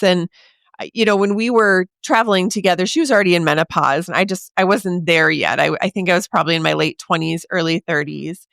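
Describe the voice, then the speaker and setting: A woman in her forties hosting a podcast, talking straight to the listener in a chatty, encouraging way, her voice a little raspy from a sore throat. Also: mid-range voice, a bit bright, no echo, clean studio sound. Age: 40s. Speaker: podcast host. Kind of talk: casual podcast monologue.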